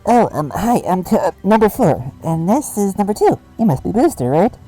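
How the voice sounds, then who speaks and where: A man's voice: sits high, very animated, moderate pace, mellow, soft background music, pitched above the performer's own voice, poor audio. A man voicing a nervous, awkward underling in disguise who hesitates and stammers timidly.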